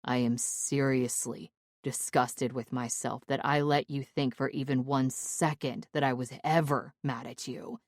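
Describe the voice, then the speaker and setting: A woman voicing a remorseful young man in love, speaking low and gravelly in a pleading, apologetic way. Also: steady tone, measured pace, dry recording, studio quality. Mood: pleading tender. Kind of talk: audiobook character voice.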